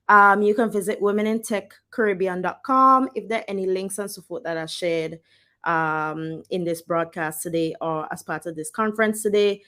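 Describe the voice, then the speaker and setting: Woman, founder, opening speech, organized, middle pitch. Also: a bit bright.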